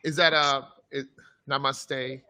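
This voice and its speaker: A man's voice: medium pitch, flat delivery, takes it slowly, bright, forceful tone. A man hosting a live online show, introducing a guest with enthusiasm and plenty of fillers. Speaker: host.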